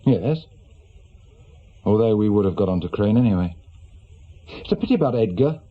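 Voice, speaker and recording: English police chief investigator, brisk and authoritative, animated intonation, muffled radio-drama sound. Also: male voice, fairly low pitch. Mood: commanding. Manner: terse, authoritative questioning. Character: brisk, probing male senior police detective in charge. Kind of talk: naturalistic British radio crime drama acting. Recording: dull, lo-fi radio drama recording.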